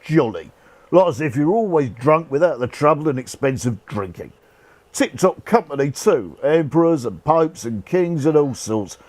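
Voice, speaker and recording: An actor playing a cheerful, irreverent rough soldier's ghost in a radio play, talking in jaunty, colloquial speech. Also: male voice, fairly high pitch, expressive, moderate pace, darkish tone, no room sound. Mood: jolly, unrepentant. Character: cheeky, cheerful old-soldier ghost, rough common man. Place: actor's home.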